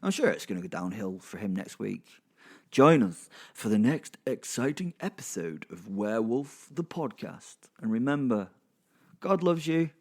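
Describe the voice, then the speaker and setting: A man's voice: on the low side, big pitch swings, normal speed, darkish tone. A man narrating a dramatic story in the first person, conversational and wry, with rambling, chatty asides. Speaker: narrator and host.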